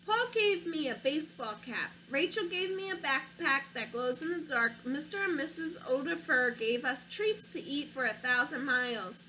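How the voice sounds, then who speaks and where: A woman teacher reading a picture book aloud to children, with an expressive storytelling delivery, stopping to ask her young listeners questions. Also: sits high, moderate pace, hardly any pauses, a little hiss or hum, rough recording.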